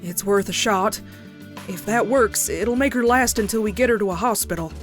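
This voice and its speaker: A woman's voice: mid-range voice, moderate pace, lightly acted. A woman voicing a tough, practical female cartoon farm pony with a Southern US accent and blunt, folksy manner. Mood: sombre, apologetic. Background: music.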